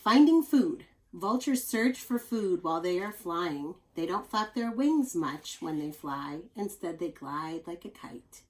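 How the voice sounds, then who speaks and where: A woman reading a children's nonfiction book aloud like a teacher, expressive and explanatory, adding chatty asides for young listeners. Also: on the low side, unhurried, few pauses, rough recording.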